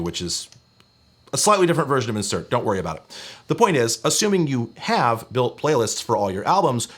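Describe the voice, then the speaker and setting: A man's voice: medium pitch, husky. A man presenting an explainer video in an emphatic, wry, opinionated way, as if talking a viewer through a gadget review. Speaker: presenter of a retro tech review channel. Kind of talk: semi-scripted explainer video narration.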